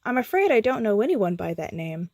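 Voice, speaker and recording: A woman voicing a polite old-fashioned gentleman, quaint, formal and courteous. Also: mid-range voice, big pitch swings, brisk, no echo. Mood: politely puzzled.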